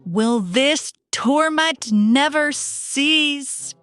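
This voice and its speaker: A woman's voice: sits high, drawn-out words, a bit bright, no echo, soft background music, voice pushed up, full character voice. A woman voicing a grumpy, fussy male bear with formal, indignant phrasing in a storybook reading. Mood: exasperated. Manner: indignant, melodramatic, formal wording.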